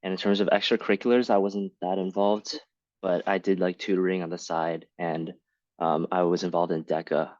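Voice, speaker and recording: A man's voice: sits low, flat delivery, normal speed, a little mellow, dry recording, lo-fi sound. A young man casually introducing himself on a student panel. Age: young adult. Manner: casual, laid-back.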